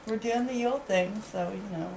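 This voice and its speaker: A stuffed-up woman chatting playfully and animatedly, with excited jumps in her voice. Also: medium pitch, moderate pace, vocal fry. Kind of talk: casual chat. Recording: echoey room with faint noise.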